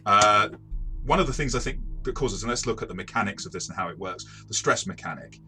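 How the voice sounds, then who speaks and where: A man's voice: lowish voice, a little fast, pushed and bright, soft background music. A man who reviews tabletop games and runs them himself, chatting casually with some self-correction and joking. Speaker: tabletop game reviewer and game master. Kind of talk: casual two-person review chat.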